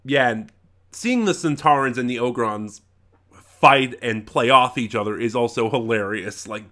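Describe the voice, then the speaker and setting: A man with a middling pitch and a bright, forceful tone talks as a casual reviewer. He gives his opinions off the cuff, rambling and halting, often stopping to correct himself. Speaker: reviewer, channel host. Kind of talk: casual unscripted audio drama review.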